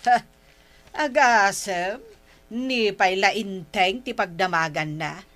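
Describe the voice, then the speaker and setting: A woman's voice: medium pitch, slow pace, fairly bright tone. A woman with an Ilocano accent playing a jealous, nagging older wife who scolds indignantly in comic drama. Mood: gossipy, aggrieved. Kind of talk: broad comic drama acting.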